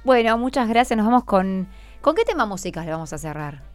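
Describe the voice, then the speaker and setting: A woman's voice: medium pitch, very animated, a little fast, soft background music. A woman with a Rioplatense Argentine accent hosting an informal radio interview, patiently encouraging her guests with questions. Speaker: radio host interviewing schoolchildren.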